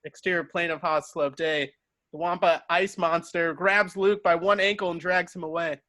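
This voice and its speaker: A man's voice: a little high, steady tone, normal speed, bright, forceful tone, no room sound. A man reading stage directions as narrator in an amateur table read, now and then stumbling and correcting himself.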